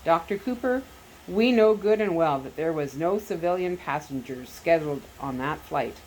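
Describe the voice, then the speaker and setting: A woman's voice: low voice, animated, moderate pace, darkish tone, a little hiss or hum, low-quality recording. A woman voicing a menacing, crafty male Soviet agent who talks down in a taunting, wicked manner. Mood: smug menace.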